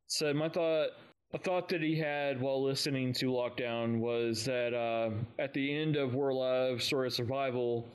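A man's voice: mid-range voice, smooth, rough recording. A man co-hosting a fan podcast, chatting informally in a self-deprecating way as he goes through listener feedback. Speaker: podcast co-host. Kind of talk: casual chat, reading listener feedback.

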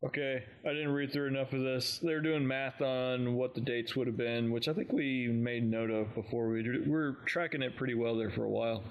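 A man's voice: medium pitch, fairly even intonation, moderate pace, hardly any pauses, dull audio. A man co-hosting a fan podcast, chatting informally in a self-deprecating way as he goes through listener feedback. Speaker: podcast co-host. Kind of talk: casual chat, reading listener feedback.

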